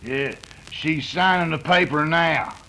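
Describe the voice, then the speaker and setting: A man's voice: a little high, takes it slowly, gravelly, sharp tone, a little hiss or hum, exaggerated voice. A man with an American accent playing a gloating, drawling outlaw boss in an old-time radio western.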